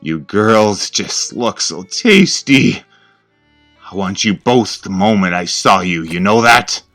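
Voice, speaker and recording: A man's voice: lowish voice, lively intonation, slow, fairly bright tone, soft background music, pitched above the performer's own voice, exaggerated voice. A man voicing a sadistic, hungry cannibal killer who taunts and savors in a horror story. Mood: taunting. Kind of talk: horror story character acting.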